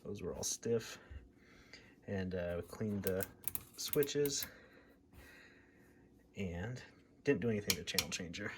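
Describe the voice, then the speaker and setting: American man, casual, with noticeable background noise. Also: on the low side. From United States, Midwest. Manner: informal, thinking aloud. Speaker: radio hobbyist presenter. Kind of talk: casual hands-on repair vlog narration.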